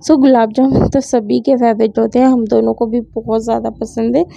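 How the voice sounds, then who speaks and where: A young woman with a high, gravelly voice that sounds a little sore, chatting easily through a home vlog voice-over. Her tone is dark and mellow, set close and dry on the mic, with a slightly dull, lo-fi quality to the recording. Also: little pitch movement, brisk, no room sound. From India. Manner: chatty, mixing English words into Hindi. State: sore throat. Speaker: vlogger. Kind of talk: casual vlog narration.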